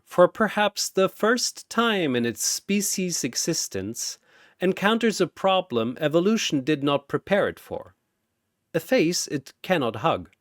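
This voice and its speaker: A man narrates a scripted tale in a theatrical, suspenseful way. His delivery is slow and deliberate, with a widely moving pitch. The recording is dry, without room echo. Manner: theatrical, short suspenseful phrases. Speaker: storyteller.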